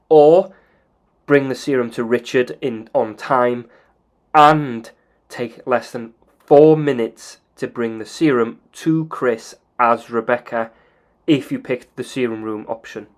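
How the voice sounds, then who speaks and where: A man calmly explaining video game story triggers in a methodical, plain way. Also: mid-range voice, slow.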